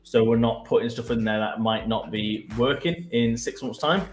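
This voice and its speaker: A man with a Mancunian accent, co-hosting a casual business podcast and explaining web development in a conversational, halting way. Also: medium pitch, roomy sound. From Manchester.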